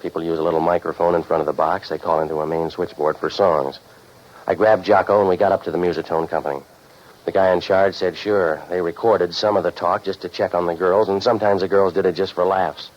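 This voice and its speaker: An actor playing a cynical, deadpan private investigator in a scripted old-time radio detective drama. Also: male voice, sits low, fairly quick. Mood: dry, weary. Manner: deadpan, sardonic. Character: cynical hard-boiled private investigator, wisecracking loner. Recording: noisy muffled old radio recording.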